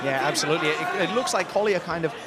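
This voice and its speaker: A man's voice: fairly high pitch, fast. A man giving color commentary at a live fight, agreeing and breaking down the action with frequent restarts. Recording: loud music and crowd noise.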